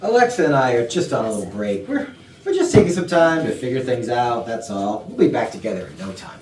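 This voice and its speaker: A lovesick man played by a woman insists defensively that all is well, in a staged comedy. The low voice swings widely in pitch and sits far off in an echoey room. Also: sing-song, fairly quick, a little mellow. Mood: hopeful, defensive. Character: lovesick man in hopeful denial over his breakup. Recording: distant echoey rehearsal room, faint noise.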